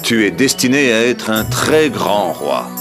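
Imperious cartoon king and doting father, low voice with big swings, music behind. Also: sing-song, measured pace. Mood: fatherly, instructive. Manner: imperious, emphatic, formal. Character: regal middle-aged king, commanding yet worried doting father. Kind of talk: French animated film dub.

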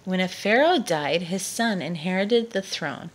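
A woman reading a children's nonfiction book aloud to a child in a measured storytelling delivery. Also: lowish voice, animated, poor audio.

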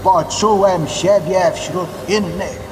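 An actor playing a gentle, philosophical old grandfather in an audio drama, speaking tenderly. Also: high voice, fairly even intonation, slow, over music, poor audio. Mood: wondering, relieved. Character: gentle old grandfather guiding a boy through afterlife.

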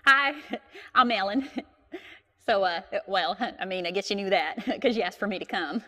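A woman doing a comic impression of a chatty, halting, giggly female celebrity host. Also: medium pitch, bright, forceful tone, big-room sound, close to an everyday voice. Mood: chirpy, awkward. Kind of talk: sketch comedy impression.

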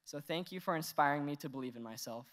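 A man's voice: fairly high pitch, fast talker, heavy background noise. Young man giving a heartfelt graduation speech. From Iran. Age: teens. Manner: earnest, with light humor and laughs. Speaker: valedictorian. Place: large indoor ceremony stage. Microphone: podium mic.

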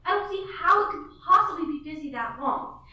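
Fretful invalid woman on the phone, played by a girl; high, bright, echoey and distant. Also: expressive, brisk, lo-fi sound, heavily acted. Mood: anxious. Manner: anxious, pleading, fretful. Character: nervous invalid woman, frightened caller. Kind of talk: student radio play acting.

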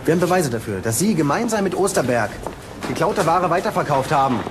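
An actor as a fast-talking, accusatory male investigator. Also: on the high side, animated, music in the background, natural voice. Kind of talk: German crime radio drama acting.

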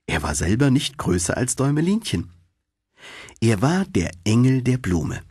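A man narrating a fairy tale in an expressive storytelling manner, as for an audiobook. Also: medium pitch, normal speed, slightly rough, dry recording, studio quality.

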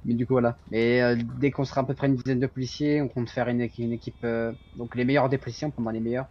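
A man's voice: medium pitch, level tone, fast talker. A man chatting casually in an easygoing way while playing a police commander in an online roleplay. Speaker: player acting as police commander. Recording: dull gamer voice chat with background noise.

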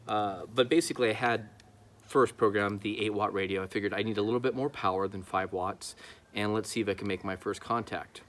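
A man's voice: on the low side, steady tone, fairly quick, a bit bright. A man in his thirties talking casually to camera, explaining his hobby as a video host outdoors. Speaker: YouTube host, amateur radio hobbyist. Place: outdoors in a backyard.

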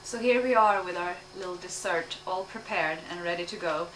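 A woman in her thirties from Sweden hosting a home cooking show, calmly explaining each step as she cooks in her kitchen. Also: lowish voice, moderate pace. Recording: echoey kitchen, distant mic, noisy.

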